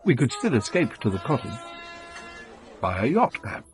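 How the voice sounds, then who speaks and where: An actor in a British radio drama playing a secretive, wealthy smuggler and devoted suitor, earnest and courtly. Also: male voice, mid-range voice, big pitch swings, moderate pace, soft background music, natural voice. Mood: persuasive, confiding.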